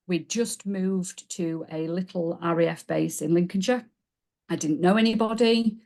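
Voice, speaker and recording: A woman with a Yorkshire accent tells her story as a podcast guest. Her voice sits a little low, and she talks in a chatty, self-deprecating way, laughing at herself now and then. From Yorkshire, England. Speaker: podcast guest, business coach. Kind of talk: casual interview storytelling.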